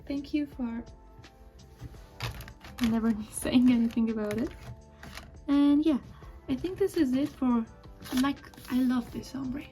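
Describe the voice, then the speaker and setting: A young woman with a Persian accent chatting softly while she draws, thinking aloud as she goes. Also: high-pitched, unhurried, pauses often. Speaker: drawing video creator. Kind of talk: casual chat while drawing. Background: faint music and marker scratching.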